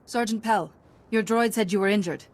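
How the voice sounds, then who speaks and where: A woman playing a calm, confident young Jedi hero in a video game. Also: mid-range voice, moderate pace, heavy background noise, lightly acted. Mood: calm, assured.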